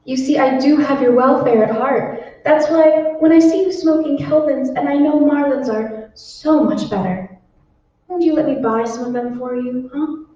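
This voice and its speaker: A woman's voice: high-pitched, moderate pace, mellow, natural voice. A woman playing a sweet, coaxing secretary who pushes products on her boss in an old-time radio drama. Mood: confiding, tender. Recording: echoey hall, distant mic, dull, faint noise.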